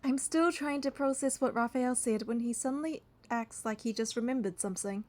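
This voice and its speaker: A woman's voice: on the high side, fairly quick, darkish tone. A woman reading a video game's story text aloud, with casual asides as she plays.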